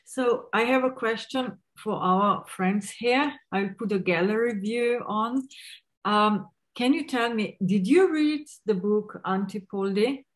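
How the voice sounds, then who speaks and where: A woman in her forties moderates an online talk from a room at home over her webcam. She speaks slowly and deliberately, at a middle pitch. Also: poor audio. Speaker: host moderator. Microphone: video call webcam.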